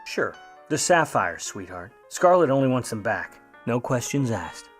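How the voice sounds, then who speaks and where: A man's voice: mid-range voice, very animated, measured pace, faint music behind. A man playing a wry 1950s private eye who talks and narrates in slangy old radio noir style. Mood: wry, flirtatious. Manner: wry, slangy, hard-boiled. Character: grudging wisecracking 1950s male private eye, hard-boiled narrator. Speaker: lead actor and narrator in a radio play.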